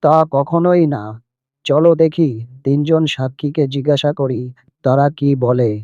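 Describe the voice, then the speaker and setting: A man's voice: on the high side, little pitch movement, unhurried, dark tone, no room sound, close to an everyday voice. A man playing a kindly, aggrieved holy man in a cartoon fable, earnest and pleading, in slightly dull audio.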